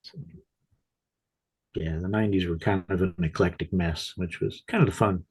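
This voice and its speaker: A man's voice: low voice, fairly even intonation, normal speed, breathy edge. A man co-hosting a casual music chat, tired from no sleep, with dry, self-mocking humor. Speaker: co-host.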